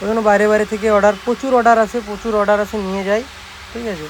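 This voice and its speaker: Young Bengali man over loud background noise. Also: sits high, very fast. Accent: West Bengal Bengali. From West Bengal, India. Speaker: speaker repair technician and channel host. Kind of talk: casual product showcase vlog. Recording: loud steady background noise, amateur recording.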